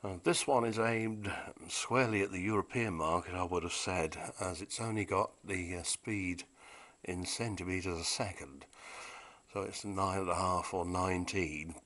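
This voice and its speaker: A man's voice: low voice, normal speed, fairly bright tone. A British man giving a casual, unscripted demonstration of vintage equipment in a chatty, wry, understated way.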